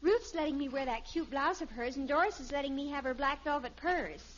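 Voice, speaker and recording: An actress playing a lovestruck, dramatic teenage daughter in a 1950s radio sitcom, with a gushing, theatrical manner. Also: female voice, high-pitched, fairly quick, lightly acted. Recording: muffled, hissy old radio recording.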